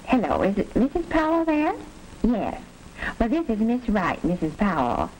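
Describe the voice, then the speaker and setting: An actress playing a brisk, caring school nurse in a 1940s educational film, gently directive and polite, on an old soundtrack. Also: female voice, medium pitch, lightly acted. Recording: crackly vintage film soundtrack.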